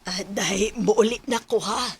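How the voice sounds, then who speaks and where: A woman's voice: medium pitch, a little slow, breathy edge. A woman acting a fussing aunt who minds children in a radio drama, with a Cebuano Filipino accent. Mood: obliging.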